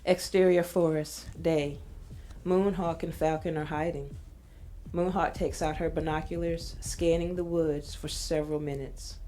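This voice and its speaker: A woman's voice: low voice, fairly even intonation, a little slow, warm tone, a little hiss or hum. A woman reading scene directions as a scripted narration.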